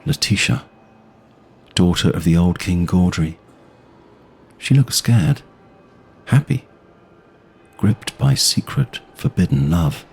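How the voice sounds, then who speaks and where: Male narrator, low pitch, unhurried, slightly husky, measured literary storytelling, fantasy audio drama. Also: expressive, darkish tone.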